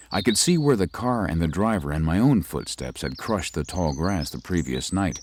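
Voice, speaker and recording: An actor playing a young lawyer who narrates a ghost story in a measured way. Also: male voice, deep voice, slight background noise. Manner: measured, tells the story with rising unease. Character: young male lawyer recuperating in the country. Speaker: narrator and lead.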